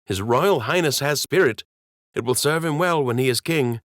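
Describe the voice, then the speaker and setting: A man's voice: medium pitch, normal speed, fairly bright tone, no echo, studio quality, pitched above the performer's own voice, close to an everyday voice. A man voicing a loyal, reserved rabbit ship captain who speaks politely and respectfully in an audiobook.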